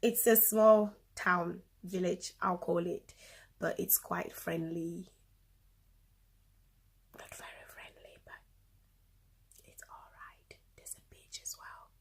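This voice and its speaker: A woman's voice: on the low side, steady tone, slow, pauses often, breathy edge, in a whisper. Casual young Cameroonian woman vlogger. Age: 20s. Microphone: phone camera.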